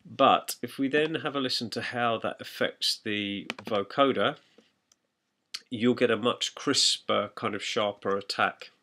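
A man narrating an informal software tutorial in a conversational, explanatory way. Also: mid-range voice, unhurried, slight background noise. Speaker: music production tutor.

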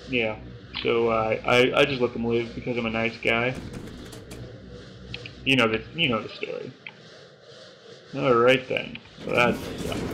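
A young man from Canada giving joking, self-mocking commentary over his own gameplay at home, now and then shouting at the screen. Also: mid-range voice, creaky, a little hiss or hum, low-quality recording. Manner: joking, self-mocking, bursts of yelling at the game. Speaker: gaming YouTuber. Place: small room at home.

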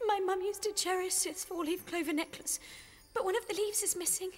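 Very high British teenage heroine. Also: female voice, fairly even intonation, fairly quick, big-room sound, soft background music. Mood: distressed. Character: earnest, frightened but determined teenage schoolgirl heroine. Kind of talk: dramatic video game acting.

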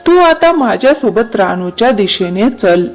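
A man voicing a wise, resourceful male owl in a children's cartoon, deliberate and given to repeating himself. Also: sits high, big pitch swings, faint music behind, full character voice. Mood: instructing. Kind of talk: children's cartoon story dialogue.

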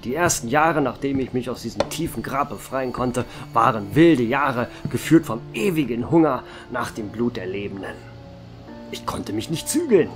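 A man playing an ancient vampire barkeeper in a horror radio play. He tells his dark past calmly and dryly, his voice rising and falling a lot. Background music runs clearly under him. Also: medium pitch, normal speed, close to an everyday voice. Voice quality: calm, dry, wry storytelling. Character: ancient male vampire barkeeper, calm and dry. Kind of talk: amateur horror audio drama. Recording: music behind the voice.

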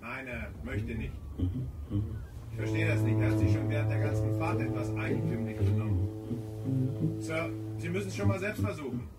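An actor playing a deferential, polite ship's officer in a German radio drama. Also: male voice, lowish voice, normal speed, long pauses, lightly acted. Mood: polite, puzzled. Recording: distant from mic under loud music.

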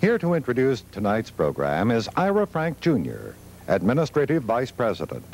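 A man's voice: medium pitch, huge pitch swings, normal speed, darkish tone, slight background noise. An American man reading a sponsor announcement as a television announcer, on old videotape.

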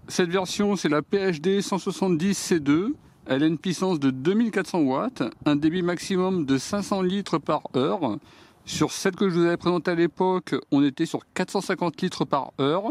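DIY host, man, slightly high voice, plain practical step-by-step delivery, recorded outdoors on camera mic. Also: darkish tone. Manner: plain step-by-step explaining, addresses viewers directly. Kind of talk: hands-on product demonstration. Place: outdoors on a garden deck.

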